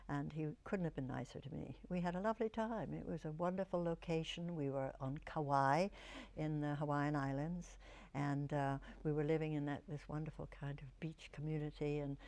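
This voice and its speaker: An older woman, an actress, reminiscing in an interview, telling stories in a reflective, halting way. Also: low-pitched, vocal fry, a little mellow.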